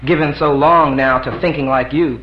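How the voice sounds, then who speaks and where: A man's voice: on the high side, steady tone, normal speed, a little hiss or hum, natural voice. A man voicing a defiant, sly freed man who mocks in a drawling rural dialect, in a roomy, dull live recording. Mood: insistent.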